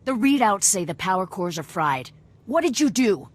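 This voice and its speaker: A woman's voice: medium pitch, sing-song, moderate pace. A woman playing a fanatical rebel cell commander in a video game, blunt, curt and threatening. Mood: impatient, threatening.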